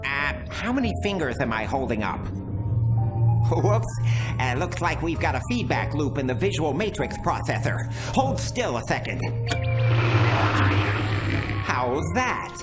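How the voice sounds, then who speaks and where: Fussy nervous tech helper in a game, pitch bouncing around, loud music behind. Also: male voice, sing-song, a little slow, long pauses. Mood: fussy, concerned. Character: fussy, nervous, kindly male technician.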